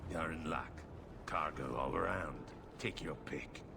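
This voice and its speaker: A man's voice: very deep voice, measured pace, creaky, full character voice. A man playing a surly, smart-mouthed dock laborer with a dismissive manner in a video game. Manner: dry, dismissive. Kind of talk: video game dialogue acting. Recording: audible background ambience noise.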